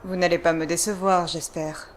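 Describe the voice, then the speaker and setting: A woman's voice: lowish voice, level tone, normal speed, close to an everyday voice. A woman acting a cool, menacing female adventurer in a video game fan dub, with an assertive, dryly threatening manner. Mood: quietly threatening. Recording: faint background noise.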